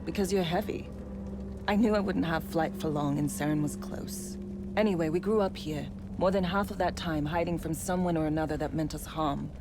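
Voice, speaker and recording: A woman's voice: low voice, normal speed, music in the background, close to an everyday voice. A woman playing a hardened, frank rebel ex-spy in naturalistic video game dialogue, blunt, wry and earnest. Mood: wry, matter-of-fact.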